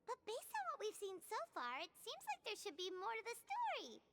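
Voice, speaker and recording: A woman voicing a tiny, excitable, curious childlike fairy companion in a video game, perky and chatty. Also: extremely high pitch, fairly quick, background noise, exaggerated voice. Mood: thoughtful. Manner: chatty, third-person self-reference, perky.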